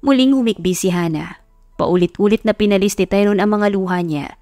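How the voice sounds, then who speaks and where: A woman's voice: mid-range voice, fairly quick, a little mellow, no echo. Filipina lady reading a romance audiobook, scripted dramatic narration, dry studio sound w music.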